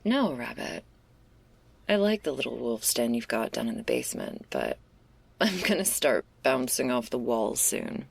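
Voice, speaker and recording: A woman playing a laid-back, restless stoner werewolf in an intimate ASMR roleplay, husky and gravelly, confiding in a casual, hesitant, slangy way. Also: rumbling low, big pitch swings, unhurried, no room sound, full character voice. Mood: restless, reassuring.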